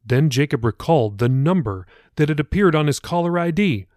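A man's voice: a little high, expressive, a little fast, darkish tone, no room sound, clean studio sound. A man reading his own novel as scripted audiobook narration for a podcast.

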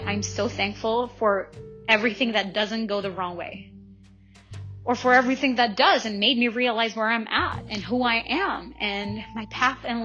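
A woman's voice: mid-range voice, pushed and bright, muffled recording. A young woman from El Salvador talking casually and reflectively to her listeners, informal and self-questioning, often checking in as she goes. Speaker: vlogger. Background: music.

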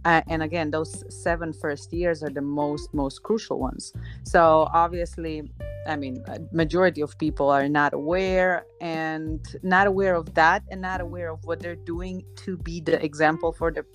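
A woman's voice: deep voice, fairly even intonation, normal speed, soft background music. A woman from Slovenia, talking casually and reflectively as an interview guest, in a discursive way with emphatic repetition. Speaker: guest, marriage and relationship coach.

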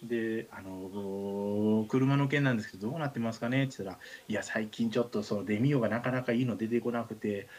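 A man's voice: lowish voice, fast, hardly any pauses. A man with a Kansai accent chatting casually as a radio host, telling comic anecdotes with acted-out dialogue. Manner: animated storytelling with acted-out dialogue. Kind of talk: casual comedic anecdote chat.

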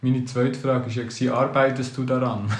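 A man's voice: mid-range voice, little pitch movement, normal speed. Male podcast co-host, curious interviewer, echoey room. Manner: curious, probing questions, casual slang. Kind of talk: casual podcast chat. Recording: roomy echoey sound.

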